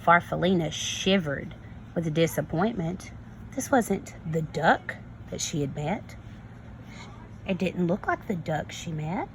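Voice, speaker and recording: A woman kindergarten teacher reading a story to young children, expressive and instructive, prompting them with questions. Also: fairly low pitch, slow pace, slight background noise, poor audio. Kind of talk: read-aloud lesson for young children.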